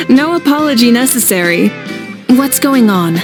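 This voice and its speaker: A woman playing a composed, gracious and humble nation leader in an anime fantasy game, speaking in a measured way. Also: high-pitched, sing-song, heavily acted. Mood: reassuring. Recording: faint background game music.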